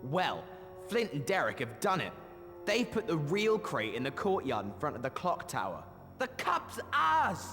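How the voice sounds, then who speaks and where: High-pitched gloating schoolboy bully. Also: male voice, huge pitch swings, unhurried, full character voice. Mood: eager, gloating. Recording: long hall reverb. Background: music.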